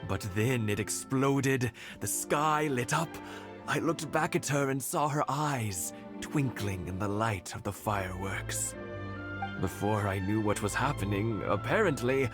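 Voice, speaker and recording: An actor playing a kindly elderly husband in a video game, reminiscing softly with small chuckles. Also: male voice, fairly low pitch, slow pace. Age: older. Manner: warm reminiscing storyteller, soft chuckles. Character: kindly elderly village husband, gentle and nostalgic. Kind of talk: game voice acting. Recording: studio game dialogue with loud music.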